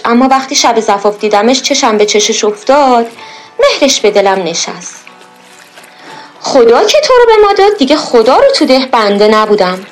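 A woman's voice: fairly high pitch, low-quality recording. A woman playing a proud, fretful middle-aged village mother, folksy and lamenting, in a period radio drama. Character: proud, fretful village-born mother, khan's daughter, doting and scolding.

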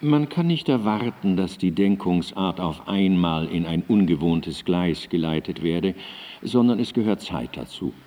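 A reserved philosopher of the eighteenth century in a radio play. His voice is low and dark, his reading deliberate and sober, and it rings with long reverb. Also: animated. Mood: patient. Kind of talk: letter recitation in a radio play.

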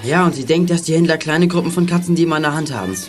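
A male actor playing a brisk, practical boy detective in a children's radio play, matter-of-fact and decisive. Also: on the high side, soft background music, close to an everyday voice.

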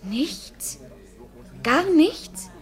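High-voiced, wistful young woman. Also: sing-song, drawn-out words, exaggerated voice. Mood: anxious. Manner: plaintive, searching, emotional. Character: naive, tipsy, wistful young woman out at night. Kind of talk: radio play acting.

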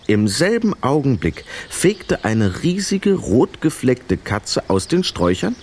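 A man narrating a scripted children's story in an expressive, vivid storytelling manner. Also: medium pitch, slow pace, slight background noise.

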